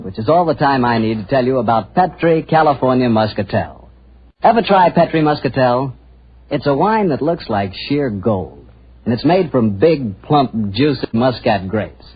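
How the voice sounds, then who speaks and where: A man with an American accent announcing as a 1940s radio sponsor's pitchman, folksy and enthusiastic. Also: husky. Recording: muffled old 1940s radio recording.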